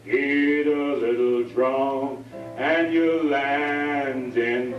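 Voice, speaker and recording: A man singing a slow show tune at a family reunion, with long drawn-out phrases. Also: medium pitch, fairly even intonation, faint music behind. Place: reunion hall. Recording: dull lo-fi home video, roomy hall, mic far off.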